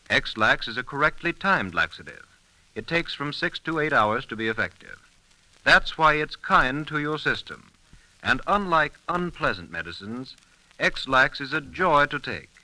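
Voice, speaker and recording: A male announcer from vintage 1930s radio reads scripted copy with emphatic polish. His voice is very bright and forceful, with lively rises and falls. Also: mid-range voice, dry recording, low-quality recording. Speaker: announcer and narrator.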